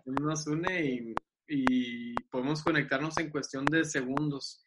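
A man, chatting as a guest on a video call, enthusiastic and quick to laugh, often repeating his words. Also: fairly high pitch, fairly even intonation. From Mexico. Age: 20s. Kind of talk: casual video-call chat. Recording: loud background hiss on a video call.

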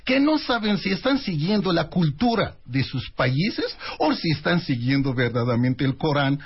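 A man who learned as a later language, a guest on a radio show, explaining slowly with many pauses. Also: on the high side, sing-song, breathy edge. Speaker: interview guest. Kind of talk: radio interview. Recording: dull lo-fi radio sound.

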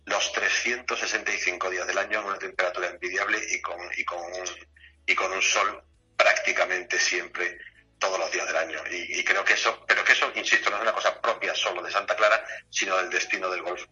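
Bright, forceful low-voiced Spanish man. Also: steady tone, brisk, lo-fi sound. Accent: Spain. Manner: chatty, repeats himself. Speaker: guest, golf club director. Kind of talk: casual radio interview.

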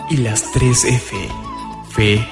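A man's voice: medium pitch, fairly even intonation, very slow. A man reading scripted life advice as a radio segment announcer, in short, separated phrases. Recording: echoey voice under clear music. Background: music.

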